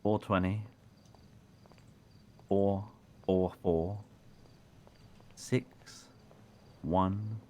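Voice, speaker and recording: Man playing a very deep-voiced, secretive loner. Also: fairly even intonation, dark tone. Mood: guarded, stern. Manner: terse, guarded, deliberate. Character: secretive, wary man in traditional British dress. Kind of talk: online game roleplay acting.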